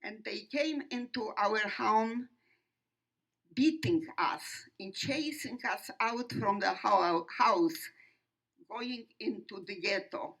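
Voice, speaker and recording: An elderly woman from Poland with a Polish accent, giving personal testimony in a solemn, deliberate, halting way with pauses. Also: medium pitch, creaky, fairly bright tone, rough recording. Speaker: Holocaust survivor speaker. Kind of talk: personal testimony to young audience.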